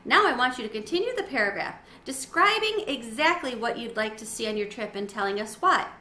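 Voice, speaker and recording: A woman's voice: fairly high pitch, sing-song, fairly quick, sharp tone. A woman reading the part of a kindly, firm female schoolteacher in a storybook, encouraging and orderly. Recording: roomy echo, faint background noise.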